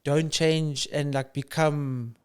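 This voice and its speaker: A man's voice: a little high, steady tone, takes it slowly, a little mellow, clean tone. A man co-hosting a banter podcast, asking curious questions and musing reflectively. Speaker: podcast co-host. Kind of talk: casual banter.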